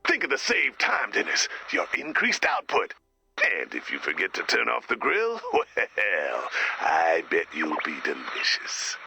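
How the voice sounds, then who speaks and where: A man's voice: sits low, huge pitch swings, a little slow, creaky, sharp tone, slightly rough, heavily acted. A man voicing a game's director turned werewolf executive, pompously talking in menacing corporate jargon. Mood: smug, predatory. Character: director turning into a corporate-minded werewolf businessman. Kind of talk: video game voice acting.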